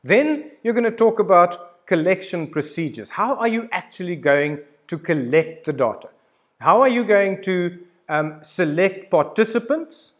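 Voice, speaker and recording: A man's voice: sits high, animated, a little slow, a bit bright. A man in his sixties giving an informal, instructional lecture, talking directly and emphatically to the listener with storytelling examples. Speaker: university lecturer. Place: indoor studio with plain backdrop.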